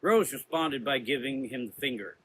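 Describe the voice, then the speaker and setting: A man's voice: medium pitch, normal speed, a bit bright, a little hiss or hum, low-quality recording. A man reading scripted narration for a gothic horror story.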